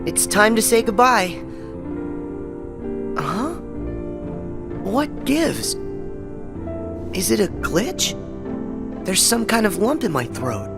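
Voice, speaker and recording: A man playing a plucky, warm-hearted young boy hero in a video game, earnest and boyish. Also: high-pitched, lively intonation, slow, pauses often, music in the background. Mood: wistful, puzzled.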